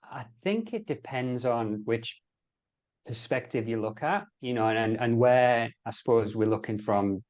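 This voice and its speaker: A man's voice: mid-range voice, a little slow, darkish tone. A middle-aged man with an English accent, speaking as an interview guest in casual chat, with hesitant phrasing and repeated words. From England. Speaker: interview guest in sport and health sector.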